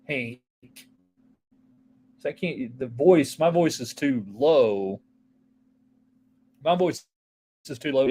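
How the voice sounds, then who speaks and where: A man's voice: mid-range voice, a little mellow, a little hiss or hum. A man hosting a casual solo sports talk show, rambling from topic to topic and answering viewer texts. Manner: rambling, offhand, jumps between topics.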